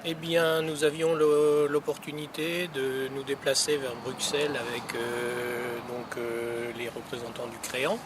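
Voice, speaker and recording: A man over sixty, a local representative, gives measured, formally worded interview answers with pauses between phrases. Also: medium pitch, little pitch movement. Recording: noisy outdoor recording with traffic ambience.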